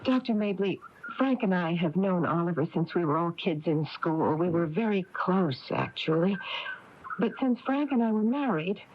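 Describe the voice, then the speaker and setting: A woman's voice: lowish voice, animated, normal speed, lightly acted. An actress playing an earnest, worried young married woman from a small town in a 1980s radio drama. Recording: muffled noisy radio broadcast tape.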